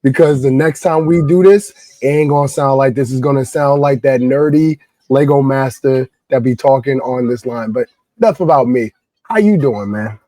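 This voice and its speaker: A man hosting a podcast, hoarse and raspy from a cold, chatting in a laid-back slangy way. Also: mid-range voice, moderate pace, warm tone, no echo. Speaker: podcast host. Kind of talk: casual chat.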